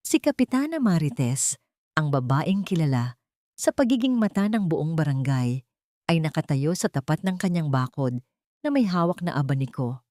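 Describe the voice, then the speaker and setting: A woman's voice: low voice, big pitch swings, normal speed, mellow, no room sound. A woman narrator reading a scripted dramatic story in a solemn, melodramatic manner.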